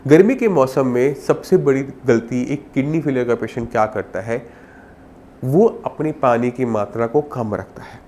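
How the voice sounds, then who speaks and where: A man's voice: medium pitch, normal speed, warm tone, a little hiss or hum. A man with a sore throat and cold, explaining health advice to viewers as a doctor in a direct, instructive way. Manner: instructive, addresses viewer directly with simple examples.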